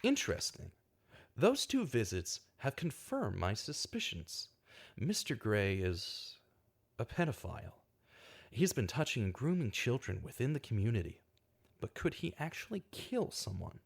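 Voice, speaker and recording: An earnest investigator, swooping delivery. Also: male voice, medium pitch, huge pitch swings, unhurried, vocal fry, slightly rough, heavily acted. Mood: brooding. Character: earnest, troubled male investigator, bitter at injustice. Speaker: narrator and investigator in an audio drama. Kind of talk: scripted radio drama acting with monologue narration.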